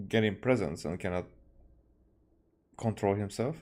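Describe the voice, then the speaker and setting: A man giving casual commentary as a game streamer, musing in a halting way. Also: on the low side, a little mellow. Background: faint game music.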